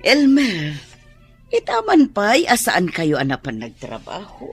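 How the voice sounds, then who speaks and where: An actress with a Filipino accent playing a measured, authoritative older landlady in a radio drama, with a husky voice. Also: mid-range voice, huge pitch swings, faint music behind. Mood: measured, serious.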